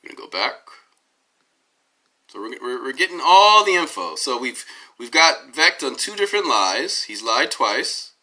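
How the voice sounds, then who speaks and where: A hoarse man talks into a phone he holds up himself, drifting off on tangents and restarting his sentences. His voice has a bright, sharp edge despite the rasp, and his pitch sits in an ordinary middle range. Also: big pitch swings, measured pace. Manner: tangential, many fillers and restarts. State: hoarse from a cold. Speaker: DJ giving his side of a dispute. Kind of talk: rambling on-camera commentary while browsing web pages. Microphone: phone held up at arm's length.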